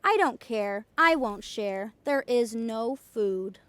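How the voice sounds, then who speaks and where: Woman doing a storybook villager, curious, exclaiming, high pitched, lively intonation. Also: slow pace, dry recording. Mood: refusing. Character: assorted villagers, curious, reluctant, then eagerly offering food.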